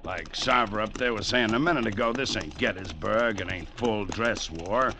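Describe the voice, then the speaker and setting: An actor playing a loyal, plainspoken cavalry first sergeant in an old radio drama, respectful and matter-of-fact. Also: male voice, fairly low pitch, moderate pace, lightly acted. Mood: patient, respectful. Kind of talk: 1940s-50s radio acting. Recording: faintly noisy old radio recording.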